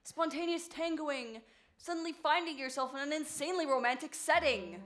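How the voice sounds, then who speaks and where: A woman's voice: high-pitched, expressive, moderate pace. A woman playing a wry, wistful musical-theatre fan who narrates in asides to the audience during a stage show. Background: loud music.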